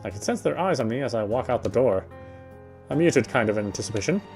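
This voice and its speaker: A man's voice: mid-range voice, expressive, quick pace, a little mellow, faint music behind. A man reading visual novel narration aloud, sometimes stumbling and repeating phrases. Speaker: playthrough reader.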